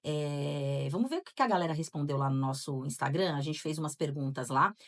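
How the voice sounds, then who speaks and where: A woman's voice: deep voice, fairly quick. A Brazilian woman co-hosting a casual podcast, chatting and reading out listener answers, with frequent tag questions. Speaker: podcast co-host.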